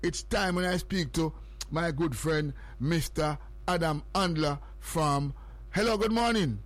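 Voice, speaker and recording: A Jamaican man hosting morning radio, talking in a fairly high voice. He loops the same phrases and reads numbers out again and again, building up his audience. His delivery is pure broadcast patter. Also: measured pace. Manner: repetitive, hypes and repeats phrases and numbers. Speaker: radio host.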